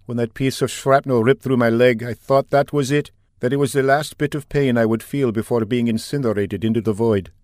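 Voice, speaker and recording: A man's voice: medium pitch, steady tone, fairly quick, hardly any pauses, darkish tone, no room sound, studio quality, voice pushed up, lightly acted. A man voicing a shaken, brooding male fighter pilot who speaks grimly and reflectively. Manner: grim and reflective.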